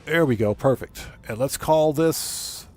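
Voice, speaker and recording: A man's voice: medium pitch, a little slow, a little mellow. A man livestreaming a video game, giving casual commentary and muttering to himself as he plays. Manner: muttering to himself while playing.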